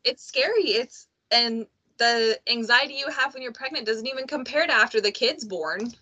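Bright-voiced chatty woman host. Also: on the high side, moderate pace. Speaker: podcast host. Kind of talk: casual conversation.

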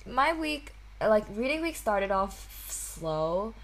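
A woman's voice: mid-range voice, very animated, slow pace. A young woman from Hong Kong hosting a casual podcast chat, talking freely with lots of "like" fillers and easy laughter. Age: young adult. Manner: chatty, frequent "like" fillers, laughs easily. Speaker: podcast host.

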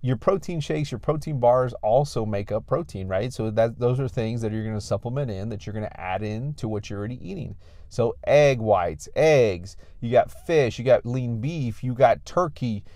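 An American man with a mid-pitched voice delivers a motivational fitness talk as a coach. He is emphatic and exhorting, repeating key phrases to drive them home. The sound is dry, with very little room to it. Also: expressive, normal speed, dark tone, no echo. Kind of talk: motivational talk to an audience online.

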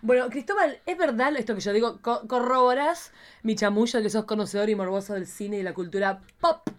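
A woman's voice: on the high side, fairly quick. An Argentine woman hosting a casual chat show in an irreverent, theatrical, slangy way. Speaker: podcast host.

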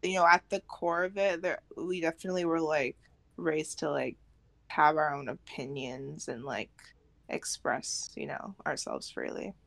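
Young woman with creaky voice. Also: deep voice, fairly even intonation, unhurried. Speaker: podcast co-host. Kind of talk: casual chat.

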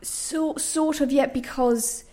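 A woman's voice: sits high, steady tone, slow pace, mellow. A woman speaking casually and reflectively as an interviewed playwright, with some hesitation. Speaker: playwright and trained actor interviewed.

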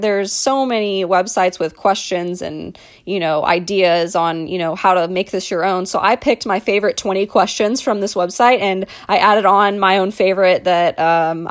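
A young woman from Dallas, hosting a casual solo podcast and talking in a chatty way, with lots of ums and restarts. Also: medium pitch. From Dallas, Texas. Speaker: podcast host, mental performance coach.

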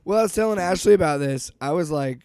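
Hoarse man co-hosting a podcast. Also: on the high side, expressive, fast talker, darkish tone, dry recording. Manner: rambling, restarts sentences. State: hoarse from a cold. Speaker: podcast co-host. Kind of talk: casual chat.

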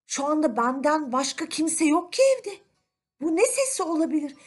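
Woman voicing an expressive, high-pitched lonely old wizard. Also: moderate pace, soft background music. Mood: curious. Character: lonely, kindly old wizard, eager for a friend.

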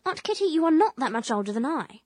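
A woman's voice: high voice, very animated, fast, darkish tone, dry recording, studio quality. An actress playing a spirited, kindly young Victorian English lady in a radio drama, refined, earnest and emphatic. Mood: encouraging.

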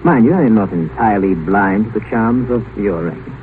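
An actor playing a brash, cynical doctor and researcher, breezy, sardonic and domineering in a 1950s radio drama style. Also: male voice, on the low side, lively intonation, normal speed, close to an everyday voice. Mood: brisk, blunt. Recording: noisy, tinny old 1950s radio broadcast.

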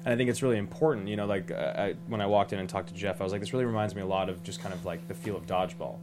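A man's voice: low-pitched, steady tone, racing, background noise. A man on a talk show panel, chatting casually and posing questions. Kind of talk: casual conversational discussion.

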